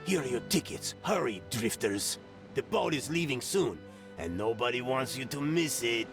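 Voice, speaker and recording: Man acting a gruff game villain type, creaky gravel voice, lively sneering intonation, curt, scornful, loud music behind. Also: medium pitch, moderate pace, close to an everyday voice. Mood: contemptuous, impatient. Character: gruff, contemptuous, impatient man. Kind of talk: video game character acting.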